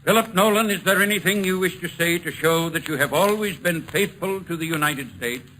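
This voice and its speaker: An actor playing a stern, solemn judge presiding over a court in a 1950s radio drama, formal and commanding. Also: male voice, fairly high pitch, a little fast, bright, forceful tone, a little hiss or hum, rough recording. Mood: grave, formal.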